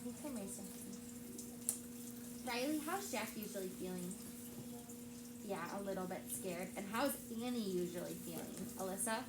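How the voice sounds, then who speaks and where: A woman's voice: medium pitch, lively intonation, moderate pace, long pauses. A woman teacher reading a chapter book aloud to her class, stopping often to ask the children questions. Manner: engaging, asks the class questions. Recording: roomy with background noise.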